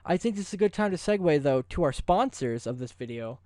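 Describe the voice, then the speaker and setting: A man's voice: fairly high pitch, expressive, fairly quick, darkish tone, dry recording, clean studio sound. A man hosting a video, talking casually and off the cuff in an effusive, rambling promotional style. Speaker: video host. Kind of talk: casual ad-libbed promotional vlog talk.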